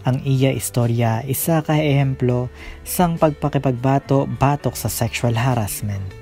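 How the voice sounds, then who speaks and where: A man's voice: medium pitch, dark tone. A man with a Filipino accent reading scripted narration as the host of a radio drama. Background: faint music.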